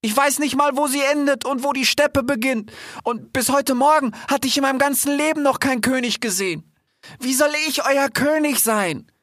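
A man's voice: very high-pitched, normal speed, a bit bright, dry recording, clean studio sound, pitched above the performer's own voice. A man voicing a small, earnest, hesitant animal in a storybook, stammering and ending sentences with questioning tags. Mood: apologetic, hesitant.

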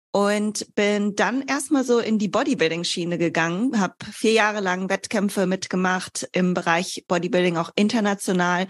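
A woman in her twenties, a fitness coach, explaining things conversationally as an interview guest. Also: fairly low pitch. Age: 20s.

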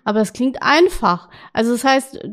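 A woman hosting a casual interview, asking friendly, quick questions. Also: fairly high pitch, animated. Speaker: interview host.

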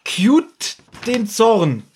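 A man's voice: high-pitched, big pitch swings, extremely slow, a little mellow, a little hiss or hum. A man co-hosting a casual podcast chat, explaining an episode recap and checking for agreement. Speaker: podcast co-host.

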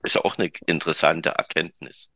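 A man's voice: extremely low pitch, little pitch movement, fairly quick, gravelly, pushed and bright, no room sound. A man hosting a podcast who chats and tells anecdotes to add to the conversation. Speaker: podcast host. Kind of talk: informative podcast talk. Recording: dull lo-fi sound.

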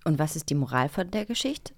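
A woman's voice: deep voice, big pitch swings, fairly quick, no room sound, clean studio sound. A woman hosting a podcast in chatty banter, with an effusive, exclamatory way of talking. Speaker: podcast host.

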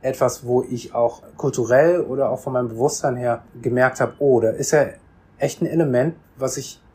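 A man from Germany, a podcast guest, telling his story in a relaxed, reflective way with many restarts and repeated words. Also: medium pitch, moderate pace, slightly rough. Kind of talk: casual conversational storytelling.

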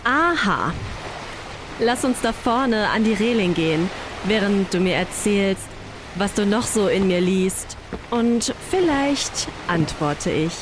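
A woman's voice: mid-range voice, lively intonation, noisy background. A woman playing a self-assured, flirtatious woman in a science-fiction radio play, teasing and wry. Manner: wry, unhurried. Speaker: voice actor in an audio drama.

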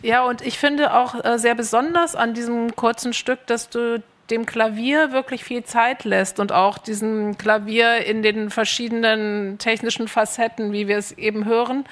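Higher-voiced woman moderating a panel. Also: fairly even intonation, normal speed, hardly any pauses. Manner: appreciative, asks guests open questions.

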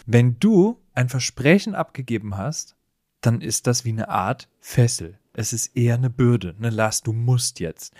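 A man talks as a podcast co-host, with a mid-pitched voice in a dry, close recording. He is conversational and analytical, building his case point by point in easy back-and-forth banter. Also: a little mellow, no room sound.

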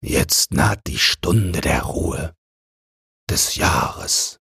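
An ancient, weary Winter king played by a man for a storybook. The voice is very husky and crackles with age. He speaks extremely slowly and solemnly. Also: very deep voice, expressive, pushed and bright, no echo, clean studio sound, heavily acted. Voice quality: gravelly, husky. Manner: slow, solemn. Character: ancient, weary personified Winter, old male ruler longing for rest.

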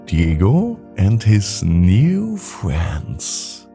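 A man narrating a scripted bedtime story for children in a gentle, deliberate storytelling manner. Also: low voice, wildly swooping pitch, gravelly, faint music behind.

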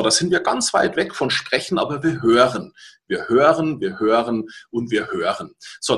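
A native German-speaking man with a mid-pitched voice gives an explanatory webinar talk as a coach. He is didactic, stresses key words by repeating them and often adds small tag questions to check that listeners follow. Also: a bit bright. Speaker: language-learning coach and webinar presenter.

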